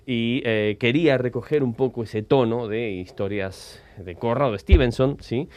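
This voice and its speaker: A man's voice: mid-range voice, measured pace, darkish tone. Middle-aged male, author as interview guest: thoughtful, reflective, frequent tag questions. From Argentina. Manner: thoughtful, often seeking confirmation with tag questions. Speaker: writer being interviewed, writing teacher.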